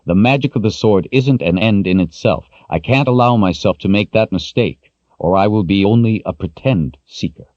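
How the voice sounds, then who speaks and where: A man's voice: lowish voice, normal speed, a little mellow, no echo, dull audio, voice pushed up, lightly acted. A man voicing an earnest, determined young hero. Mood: resolute.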